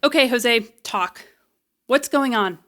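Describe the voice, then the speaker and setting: Young female runaway, played by a woman, audio drama. Troubled, blunt, unhurried delivery, higher pitch. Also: a bit bright, dry recording, clean studio sound. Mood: incredulous, blunt. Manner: halting, blunt, conversational.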